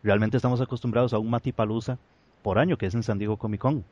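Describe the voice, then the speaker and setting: A man's voice: medium pitch, steady tone, quick pace, a little mellow, dry recording, dull audio. A man from Rica, speaking earnestly and a little hesitantly as a podcast guest. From San José, Costa Rica.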